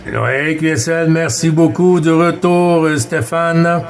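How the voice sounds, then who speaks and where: A man from Quebec with a Québécois accent, chatting casually and haltingly as a CB radio operator. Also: on the high side, fairly even intonation. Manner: halting, repetitive, frequent filler tags. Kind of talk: casual radio contact chat.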